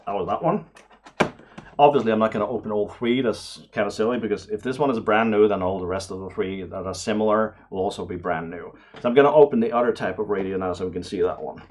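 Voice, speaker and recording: A man giving casual unboxing commentary to camera, excitable and prone to awestruck exclamations and hesitations. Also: fairly low pitch, fast talker, a little hiss or hum. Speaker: vlogger, radio amateur hobbyist.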